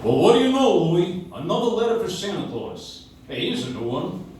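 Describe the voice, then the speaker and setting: Man playing an excitable, swooping city mailman, echoey. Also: high voice, normal speed. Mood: eager, excitable. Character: eager, chummy city mailman, folksy and excitable. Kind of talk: live stage re-creation of old-time radio play. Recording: distant audience recording in echoey cafe, noisy.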